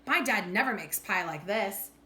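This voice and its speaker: A woman's voice: mid-range voice, animated, normal speed, pushed and bright. A woman voicing a friendly young neighbor boy in a storybook, eager and plain-spoken. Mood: impressed. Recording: echoey room with faint background noise.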